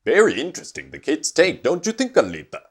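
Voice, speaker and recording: A man's voice: fairly high pitch, huge pitch swings, quick pace, breathy edge, full character voice. A man voicing a self-important puppet news anchor, theatrical and showy, in a fan-dubbed mock newscast. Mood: showy, pompous.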